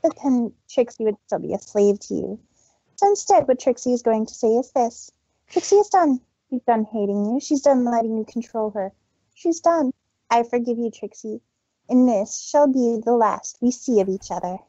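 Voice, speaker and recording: A woman's voice: on the high side, lively intonation, moderate pace, dark tone, dry recording, dull audio. A woman voicing a proud, dramatic young mare in a story reading, declamatory and emphatic. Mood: dramatic, resolute. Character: proud, dramatic young mare speaking of herself in third person.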